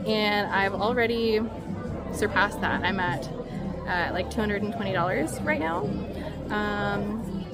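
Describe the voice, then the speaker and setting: A young woman vlogging casually and upbeat about her craft sales, with lots of ums. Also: mid-range voice. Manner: chatty, upbeat, many ums. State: losing her voice from a cold. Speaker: crochet vlogger. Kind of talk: casual vlog chat. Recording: clear background music.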